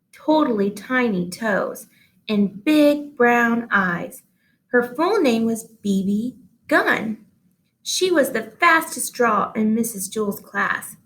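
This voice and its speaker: A woman with a fairly high voice narrates a children's book. Her read-aloud style is expressive, aimed at young listeners. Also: rough recording.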